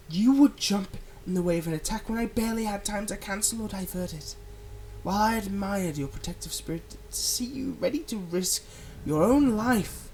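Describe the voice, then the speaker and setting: A man playing a manipulative, soothing male villain in an ASMR roleplay, speaking in a soft, coaxing and dramatic way with deliberate pauses. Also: high-pitched, a little hiss or hum, low-quality recording. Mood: impassioned, pained. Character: manipulative, soothing male supervillain, tenderly possessive of his captive.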